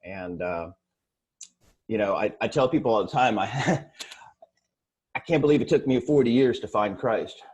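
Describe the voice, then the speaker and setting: A man in his forties, born in Sweden, speaking as an interview guest in a casual, halting way with many fillers and restarts. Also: lowish voice, darkish tone, slightly rough, dull audio. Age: 40s.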